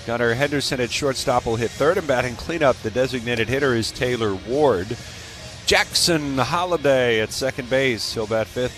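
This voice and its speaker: A man's voice: medium pitch, a bit bright. An American man calling a baseball game live on the radio as the play-by-play announcer. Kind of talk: live sports commentary. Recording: background stadium noise on radio broadcast.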